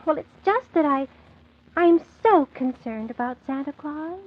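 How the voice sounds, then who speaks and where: An actress playing a kindly, worried, motherly hostess in a 1940s children's radio play, gentle and hesitant. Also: high-pitched, expressive, measured pace. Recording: muffled, narrow 1940s radio recording.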